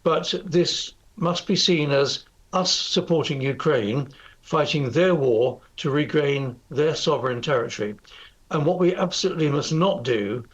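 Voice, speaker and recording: An older man with a British accent giving political commentary as an interview guest, formal, measured and hesitant. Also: on the high side. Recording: slightly dull, lo-fi broadcast audio.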